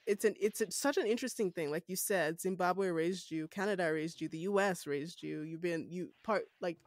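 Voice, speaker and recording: A woman's voice: on the low side, normal speed, dry recording, clean studio sound. A woman from Nigeria with a Nigerian accent, hosting a podcast and musing thoughtfully, with easy laughs. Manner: thoughtful, questioning, laughs easily. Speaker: podcast host. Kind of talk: casual conversation.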